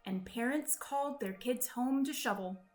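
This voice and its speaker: A woman reading a children's story aloud in an expressive, deliberate, dramatic way. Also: on the high side, faint music behind. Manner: deliberate, dramatic delivery with acted-out sound words. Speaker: storybook reader.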